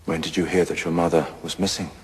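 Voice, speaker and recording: A man playing a barrister with a very low voice questions formally and deliberately. The courtroom drama rings with hall echo. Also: flat delivery, natural voice. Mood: probing, formal. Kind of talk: audio drama courtroom acting. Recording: echoey hall reverb.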